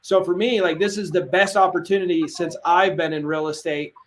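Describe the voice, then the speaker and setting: A man coaching on a live webinar, energetic and casual with slang. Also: sits high, a little fast, slight background noise. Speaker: real estate coach.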